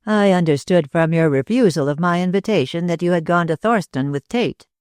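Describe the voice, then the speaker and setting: A reader voicing an older lawyer who is formal, guarded and quietly nervous. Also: mid-range voice, a little fast, smooth, dry recording, clean studio sound, close to an everyday voice.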